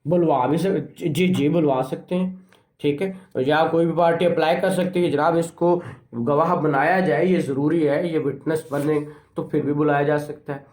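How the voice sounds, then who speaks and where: A Pakistani man with a high-pitched voice teaches a class in an echoey room. He is didactic and emphatic, repeating key phrases, posing rhetorical questions and switching into English for technical terms. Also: fairly even intonation, normal speed, a little mellow. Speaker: law lecturer. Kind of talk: classroom lecture on law, mixing in English terms.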